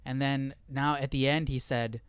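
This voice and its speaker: A man's voice: medium pitch, fairly even intonation, normal speed, smooth, dry recording. A man hosting a livestream, talking informally through a study session and often checking in with "right?". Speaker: livestream host. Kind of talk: casual Bible study livestream commentary.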